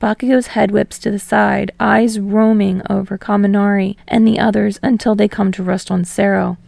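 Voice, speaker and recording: A woman's voice: medium pitch, little pitch movement, normal speed, creaky, a little mellow, no echo. A woman reading fiction aloud as an audiobook narrator in a scripted storytelling style.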